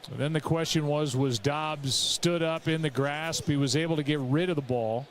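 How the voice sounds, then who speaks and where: A man's voice: fairly high pitch, fairly even intonation, normal speed, slight background noise. A man giving live football play-by-play as a television announcer. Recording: broadcast audio over stadium crowd. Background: stadium crowd.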